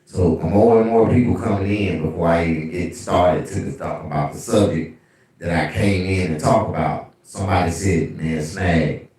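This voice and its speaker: Deep bass man, echoey room. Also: normal speed, far from the mic. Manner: animated, profane storytelling. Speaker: livestream host. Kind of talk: casual livestream storytelling. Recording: very echoey room, away from mic.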